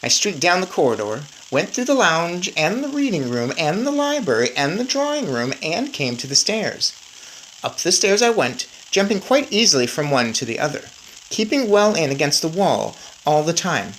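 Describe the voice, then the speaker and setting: Female story reader, low register, very animated delivery, some background noise. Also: moderate pace, poor audio. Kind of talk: expressive children's audiobook-style read-aloud.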